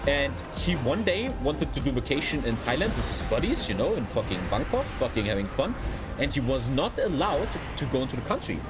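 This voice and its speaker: Animated German-accented man over loud music. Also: medium pitch, fairly quick. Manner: rambling, heavily sweary, blunt. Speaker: live streamer. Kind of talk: casual livestream chat over gameplay.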